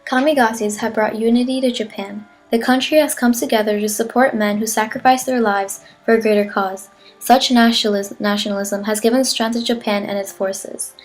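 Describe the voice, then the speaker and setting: A woman's voice: on the high side, steady tone, moderate pace, close to an everyday voice. A woman playing an earnest, patriotic wartime newsreel narrator, reading a formal script in a declarative way. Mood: earnest, solemn. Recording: music behind the voice. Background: music.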